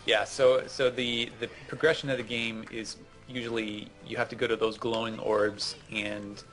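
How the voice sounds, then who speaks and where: A man's voice: mid-range voice, little pitch movement, normal speed, a bit bright, soft background music, poor audio. A man giving casual, rambling explanations as a co-host commenting on gameplay. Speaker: gaming show co-host.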